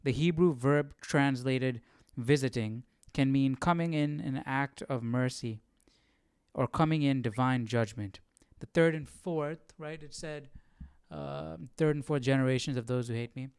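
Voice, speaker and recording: A man with a smooth, clear voice leads a casual Bible study. He reads and explains while thinking aloud, often tagging his points with a short check, and the sound is dry. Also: medium pitch, unhurried, darkish tone, no echo. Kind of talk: casual live study commentary, reading verses and explaining.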